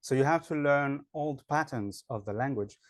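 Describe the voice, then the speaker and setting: A man's voice: mid-range voice, normal speed, dry recording, studio quality. A man with a French accent, an online English teacher, answering interview questions in a hesitant way with many fillers. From France.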